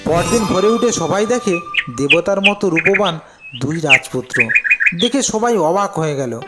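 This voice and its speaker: A high-pitched male storyteller narrates a scripted folktale. Music plays clearly behind his voice throughout. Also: expressive, normal speed.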